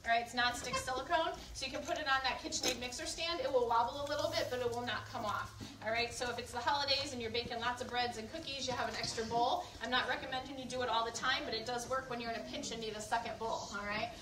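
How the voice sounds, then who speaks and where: A woman's voice: fairly high pitch, steady tone, fast talker, hardly any pauses. A woman giving a live kitchenware cooking demonstration to a group, chatty and instructive, often checking in with questions. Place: indoor room at a live event. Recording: roomy, distant mic, faint background noise.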